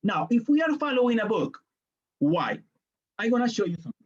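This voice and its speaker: A man's voice: extremely high pitch, sing-song, a little fast, highs cut off. Colombian man leading a teaching workshop. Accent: Colombian Spanish. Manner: explains step by step, briefly voicing students and parents. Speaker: teacher trainer. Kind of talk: online workshop lecture.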